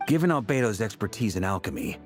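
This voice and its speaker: An actor playing a confident, courteous strategist in a game, articulate and polite. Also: male voice, lowish voice, expressive, fast talker, close to an everyday voice. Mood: earnest, courteous. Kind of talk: game voice acting. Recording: game music under the voice.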